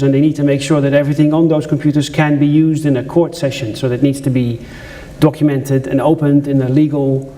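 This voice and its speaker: A man's voice: medium pitch, mellow, a little hiss or hum. Dutch-accented man giving a conference talk. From Netherlands. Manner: conversational, with frequent restarts and asides to the audience. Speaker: conference speaker. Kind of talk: conference presentation. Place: lecture room at a conference.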